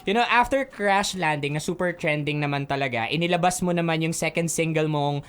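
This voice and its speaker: A woman's voice: sits low, brisk, smooth. A woman from the Philippines hosting a radio interview, bantering playfully in a mix of Tagalog and English and laughing often. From Legazpi, Albay, Philippines. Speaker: radio host. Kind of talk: casual radio interview chat. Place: radio studio.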